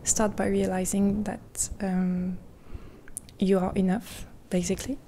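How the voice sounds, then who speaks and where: A woman speaking in a gentle, half-whispered ASMR roleplay, rambling softly and reassuringly with pauses, close to the mic. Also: medium pitch, level tone, a little hiss or hum. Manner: caring, reassuring. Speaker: ASMR creator. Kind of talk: soft-spoken ASMR roleplay.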